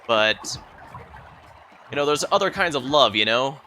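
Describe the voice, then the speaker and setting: A man's voice: medium pitch, expressive, a little slow, sharp tone, faint music behind. A man giving live playthrough commentary on a video game, musing hesitantly and wandering into asides as he streams.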